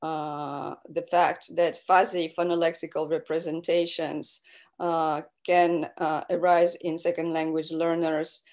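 A woman lectures over a video call in a low voice. She speaks very slowly and fills her pauses with many ums and uhs. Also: little pitch movement, darkish tone. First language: Russian. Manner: hesitant, many fillers.

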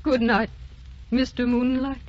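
A woman's voice: on the high side, little pitch movement, unhurried, noisy background, close to an everyday voice. An actress playing a tender, weary, ageless grandmother who speaks wistfully and repeats her phrases, in old radio drama style. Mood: tender, calm. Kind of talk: 1930s-40s radio drama acting. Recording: dull old radio recording with music.

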